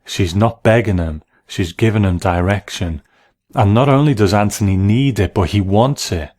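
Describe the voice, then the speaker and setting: A man delivering a measured, scripted video-essay commentary as narrator and critic. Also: lowish voice, a little mellow. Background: music.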